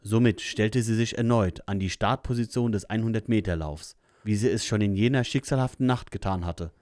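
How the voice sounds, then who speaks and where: A man's voice: fairly low pitch, fairly quick, a little mellow, dry recording. A man reading scripted narration for an audio drama.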